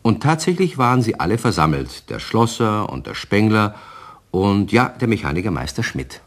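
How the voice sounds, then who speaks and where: A storyteller narrating a children's radio play. His voice is deep, and his delivery moves expressively up and down as he addresses young listeners. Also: sing-song, moderate pace. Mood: storytelling.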